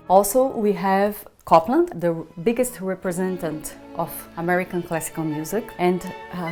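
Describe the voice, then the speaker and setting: A woman conductor with an Italian-Brazilian accent, speaking thoughtfully in a promotional interview and pausing to search for words. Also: on the low side, darkish tone. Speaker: orchestra conductor.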